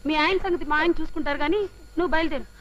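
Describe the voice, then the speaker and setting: A woman's voice: sits high, racing, dull audio, a little hiss or hum, lightly acted. An actress playing a chatty married woman in a radio play who guesses at the reason for the tears and then reassures the young wife. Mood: reassuring.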